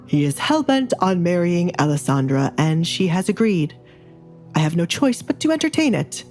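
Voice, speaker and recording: A woman's voice: fairly low pitch, sing-song, moderate pace, darkish tone, soft background music. Woman as a flustered, exasperated middle-aged baron. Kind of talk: audio drama acting.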